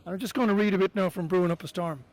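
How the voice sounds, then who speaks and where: West of Ireland man, high-pitched, roomy sound. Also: fairly even intonation, very fast, a little mellow. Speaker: storyteller guiding a literary walking tour. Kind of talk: informal guided-tour talk with readings aloud.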